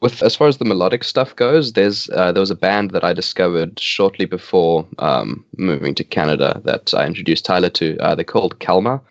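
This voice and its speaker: A man's voice: deep voice, fairly quick, gravelly, hardly any pauses, no echo, highs cut off. A man in his twenties from South Africa, whose first language is Afrikaans, chatting casually in an interview with frequent laughs. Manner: relaxed, laughs often, hesitant restarts. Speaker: band bassist.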